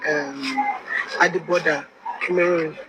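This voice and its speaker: A woman from Akwa Ibom in Nigeria being interviewed, giving hesitant, halting answers. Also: low-pitched, steady tone, noisy background.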